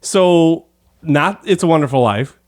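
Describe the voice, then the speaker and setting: A man's voice: on the high side, animated, unhurried. A man hosting a podcast in a casual, joking, self-deprecating way, rambling a little as he chats. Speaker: podcast host. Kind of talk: casual chatty interview.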